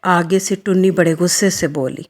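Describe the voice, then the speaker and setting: A woman's voice: on the low side, fairly even intonation, normal speed. A woman narrating a scripted children's story.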